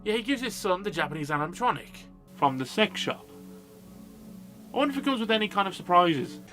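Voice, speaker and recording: A man's voice: high voice, very animated, a little fast, pushed and bright. A man giving a casual movie review as a video host, in a blunt, sweary, informal manner. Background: background music.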